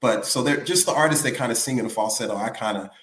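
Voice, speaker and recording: A man's voice: mid-range voice, fast talker, fairly bright tone, roomy sound. A male singer being interviewed, talking casually and rambling with lots of "you know what I'm saying". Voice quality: raspy.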